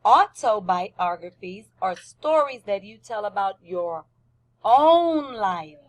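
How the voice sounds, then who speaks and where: A woman's voice: medium pitch, expressive, slow, dull audio. A woman teacher giving a lesson to young children, explaining simply, repeating points and asking questions. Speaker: first-grade teacher.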